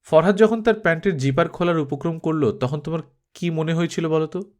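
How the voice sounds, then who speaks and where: A man voicing a detached, philosophical film director in a storybook, questioning and deliberate. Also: on the high side, lightly acted. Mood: musing. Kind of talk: Bengali audiobook character acting.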